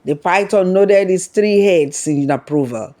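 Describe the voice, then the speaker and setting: A storyteller narrates a folktale from a script. The voice sits at a middle pitch and tells the story as a read narrative. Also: measured pace, dark tone.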